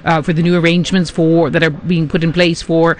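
A man giving live news analysis as a correspondent, measured and fluent, with frequent restarts. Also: a little high, level tone, slight background noise.

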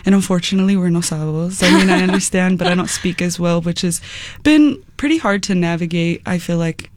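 A young adult musician from the United States, answering interview questions in a relaxed, chatty way, full of "like" and "um". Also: medium pitch, fairly quick, slight background noise. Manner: relaxed, chatty, many fillers like "like" and "um". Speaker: guest musician.

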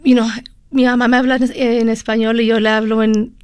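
Chatty higher-voiced woman from California. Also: flat delivery, fairly quick, clean tone, no echo, clean studio sound. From California, USA. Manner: chatty, with frequent fillers and switches into English. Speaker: interview guest, lawyer. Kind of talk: conversational storytelling.

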